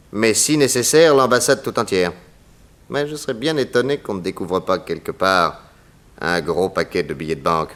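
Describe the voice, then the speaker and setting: Casting: confident, inquisitive detective, fairly low voice, very lively intonation, classic 1960s radio drama. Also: male voice. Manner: courteous, inquisitive, theatrical. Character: shrewd, probing, confident American male detective. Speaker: actor in a detective radio serial.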